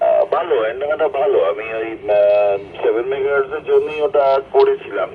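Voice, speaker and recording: A man's voice: mid-range voice, brisk. A man with a Bengali Indian accent chatting casually over a two-way amateur radio link as a fellow operator. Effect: two-way VHF radio transmission. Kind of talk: casual ham radio conversation. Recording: tinny, noisy VHF repeater radio link.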